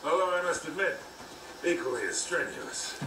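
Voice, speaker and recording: An actor playing a gruff, wry older treasure hunter, the hero's world-weary mentor, in a video game. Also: male voice, a little high, expressive, moderate pace, distant mic, background noise, lightly acted. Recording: game audio played in an echoey room, music behind.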